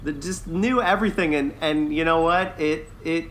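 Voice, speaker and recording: Male podcast host, bright and slightly high voice, upbeat casual chat, some background noise. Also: normal speed.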